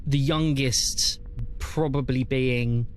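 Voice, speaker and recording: Mid-pitched man narrating as game master. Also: fairly even intonation, slow. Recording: faint background noise.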